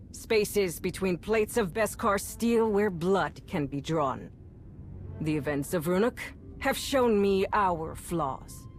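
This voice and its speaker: Slow, commanding warlord. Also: female voice, fairly low pitch. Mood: cold, brooding. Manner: measured, solemn, authoritative. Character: commanding, calculating female warlord, coldly menacing and visionary. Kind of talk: dramatic video game monologue. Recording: music under the voice.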